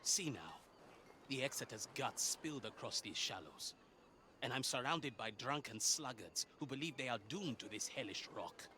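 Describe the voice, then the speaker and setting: An actor playing a furious, scornful pirate sailor in a video game, theatrical and biting, with old-fashioned seafaring insults. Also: male voice, mid-range voice, lively intonation, normal speed, pushed and bright, slight background noise. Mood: scornful anger. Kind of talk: dramatic video game acting.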